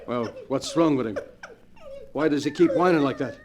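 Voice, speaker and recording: An actor playing a tormented, pleading gangster with rough slangy speech in a 1940s radio mystery. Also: male voice, medium pitch, normal speed, a crowd in the background, lightly acted. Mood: brooding, uneasy. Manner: rough slangy tough-guy talk, pleading and stammering. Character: desperate, tormented gangster man dreading his next attack. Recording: vintage 1940s radio recording.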